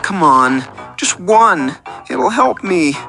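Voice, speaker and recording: A man's voice: a little high, expressive, takes it slowly, creaky, sharp tone, soft background music, full character voice. A man playing an earnest, lonely, oversharing oddball client in a radio comedy, rambling in odd detail. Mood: pleading. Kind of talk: scripted radio comedy monologue.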